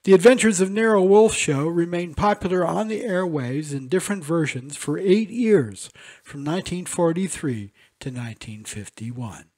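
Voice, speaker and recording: A man with a fairly high, husky voice presents an intermission between old radio episodes. His delivery is measured and informative, like a scripted host segment. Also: lively intonation, a little mellow.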